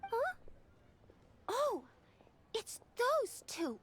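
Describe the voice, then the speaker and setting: A woman's voice: very high voice, huge pitch swings, extremely slow, a little hiss or hum, heavily acted. A woman voicing a small, playful, bossy girl in a video game, childlike and decisive. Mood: curious. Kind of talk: video game character acting.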